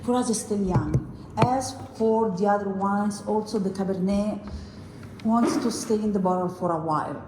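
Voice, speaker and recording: A woman's voice: mid-range voice, moderate pace. A woman hosts a winery tasting and explains wines confidently, often repeating her points. She is recorded from a distance in a very reverberant room. There is audible noise behind her. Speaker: winery host.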